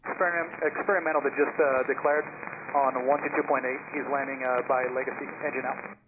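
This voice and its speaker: A man speaking as a pilot over aviation radio, haltingly relaying a message to air traffic control. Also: medium pitch, flat delivery. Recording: muffled, very noisy aviation radio transmission. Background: radio noise.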